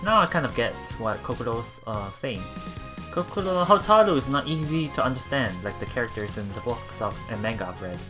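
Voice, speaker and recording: Casual game streamer reading and reacting aloud, mid-range voice, halting delivery, faint music behind. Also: medium pitch, big pitch swings. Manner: halting, stumbles and repeats words. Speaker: let's play host.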